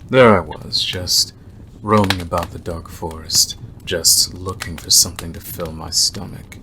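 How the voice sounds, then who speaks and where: A man playing a predatory, obsessive male werewolf in an ASMR roleplay, talking in a deliberate, teasing way with drawn-out pauses. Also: low-pitched, steady tone, bright, forceful tone, a little hiss or hum, heavily acted. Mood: menacing reminiscence.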